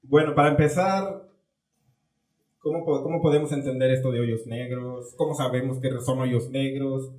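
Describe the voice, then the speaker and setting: A man's voice: mid-range voice, fairly even intonation, moderate pace, a little mellow. A man co-hosting a podcast, asking long, rambling questions that he corrects as he goes. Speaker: podcast co-host. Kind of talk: casual interview questions. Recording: roomy echoey room.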